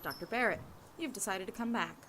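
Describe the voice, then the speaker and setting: A woman's voice: medium pitch, a little fast, a bit bright, slight background noise, lightly acted. A woman acting a cold, officious laboratory assistant in an audio drama, formal, clipped and unsympathetic. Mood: cool, dismissive.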